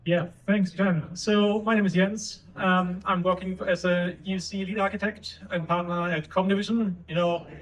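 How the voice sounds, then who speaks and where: A man with a German accent speaks on a conference stage, his voice pitched high for a man. He explains at ease, leaning on fillers as he goes. The mic sits a bit away from him. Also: moderate pace, distant mic, low-quality recording. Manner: relaxed, filler-heavy explaining. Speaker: solutions architect. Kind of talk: conference panel talk.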